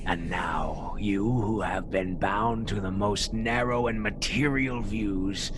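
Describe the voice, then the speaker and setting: A man's voice: fairly low pitch, background noise. A man playing a sinister, impatient, murderous alter ego in a gothic radio play, with a menacing, theatrical delivery. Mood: menacing, grandiose. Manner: menacing, theatrical, slow and deliberate. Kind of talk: gothic radio drama acting.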